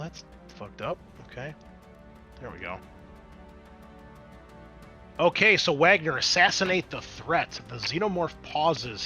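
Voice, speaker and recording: Bright-voiced man running a tabletop game. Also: medium pitch. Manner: casual swearing, muttering asides while working the game software. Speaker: game master. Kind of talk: running an online tabletop roleplaying game, casual. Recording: music behind the voice. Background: music.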